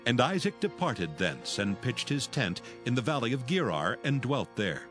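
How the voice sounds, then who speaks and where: A man narrating scripture from a script in a formal, measured manner. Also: medium pitch, sing-song, fairly bright tone. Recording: music behind. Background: music.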